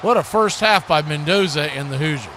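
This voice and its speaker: A man's voice: a little high, fairly quick. A man calling a football game as a live play-by-play host. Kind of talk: sports play-by-play commentary. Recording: steady background noise.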